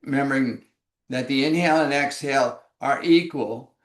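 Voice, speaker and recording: Calm man guiding yoga breathing. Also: mid-range voice, echoey room. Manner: slow, calm, measured cues. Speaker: yoga instructor. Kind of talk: guided breathing instruction.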